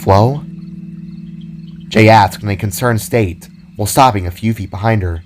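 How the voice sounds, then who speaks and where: A man's voice: on the low side, brisk, slight background noise. A man reading scripted fiction as a storyteller. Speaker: storyteller, narrator. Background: music.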